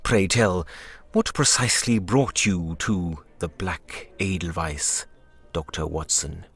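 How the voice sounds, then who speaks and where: An actor playing a formal, brusque head doctor of a continental clinic in a video game, speaking with measured, condescending politeness. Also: male voice, low voice, a bit bright, breathy edge, soft background music, full character voice. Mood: polite, brusque.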